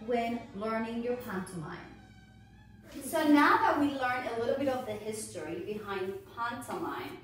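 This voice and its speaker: A middle-aged woman, a ballet teacher, giving a prepared educational lecture in deliberate phrases with frequent pauses. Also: a little high, animated. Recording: echoey room, distant mic, music behind.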